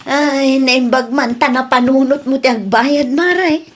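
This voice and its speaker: A woman's voice: high-pitched, measured pace. A woman with an Ilocano accent playing a pushy, teasing friend who lends money, in a comedy radio drama. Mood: appeased. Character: pushy woman creditor friend demanding her money back.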